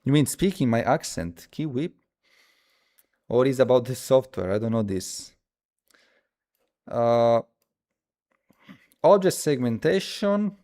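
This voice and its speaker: A man who speaks as a hosts a casual live coding stream, thinking aloud haltingly and repeating his phrases as he demonstrates. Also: mid-range voice, expressive, dark tone. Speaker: livestream host.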